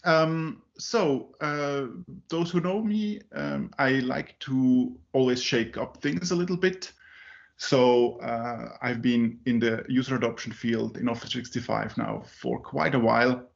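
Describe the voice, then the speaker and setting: A man's voice: medium pitch, unhurried, gravelly, highs cut off. A man from Finland who grew up in Zurich, not a native English speaker, giving a talk at a user group meeting with many fillers and restarts. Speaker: conference speaker.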